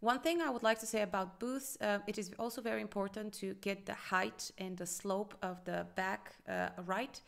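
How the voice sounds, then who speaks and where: A woman's voice: medium pitch, steady tone, moderate pace, gravelly, few pauses. A woman in her thirties giving casual commentary as a restaurant consultant, thoughtful and full of fillers, recorded at a desk. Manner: hesitant, many fillers, thoughtful. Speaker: restaurant consultant reviewing a design.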